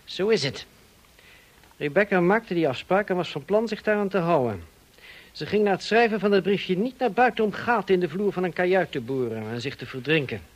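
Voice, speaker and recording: An actor in a radio play playing a smug, insinuating blackmailer with a mocking, drawling, falsely polite manner. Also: male voice, high voice, lively intonation, a little fast, muffled recording. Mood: accusing. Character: smug, insinuating male blackmailer, cousin of the dead woman.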